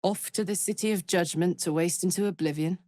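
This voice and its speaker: An actress voicing a blunt, battle-hardened but warm-hearted woman warrior in a video game. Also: on the low side, a little fast, no room sound, close to an everyday voice. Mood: angry, defiant. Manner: blunt, swearing, swinging between playful and intense. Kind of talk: video game character voice acting.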